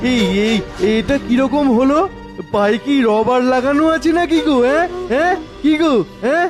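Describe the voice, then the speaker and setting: A man's voice: very high-pitched, normal speed. A man voicing a baffled, gossipy cartoon villager who talks in querulous questions. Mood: bewildered. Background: clear background music.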